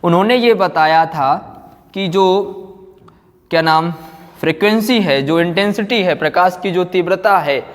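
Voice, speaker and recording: A man's voice: high voice, measured pace. A man lecturing as a physics teacher, explaining with repetition, rhetorical questions and frequent check-ins. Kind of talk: classroom lecture. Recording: echoey hall, long reverb.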